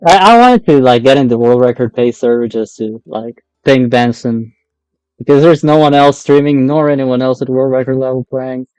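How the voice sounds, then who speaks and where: A man with an Argentinian accent and Spanish as his first language talks in a loose, conversational way while live streaming a game. His voice is mellow and smooth, sitting at an ordinary pitch. The sound is close and dry, with very little room on it. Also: normal speed, dry recording, soft background music, low-quality recording. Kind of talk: casual live stream chat while gaming.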